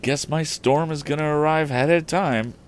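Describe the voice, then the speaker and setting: A man's voice: a little high, steady tone, normal speed, a little hiss or hum, voice pushed up, natural voice. A man voicing an earnest, eager young male inventor who thinks aloud in an old adventure storybook. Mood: musing.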